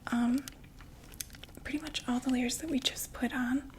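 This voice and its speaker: A young woman voicing a chatty undead vampire makeup saleswoman in an ASMR roleplay, talking in soft whispered sales patter with fillers. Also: sits high, steady tone, measured pace, a little hiss or hum. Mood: soothing.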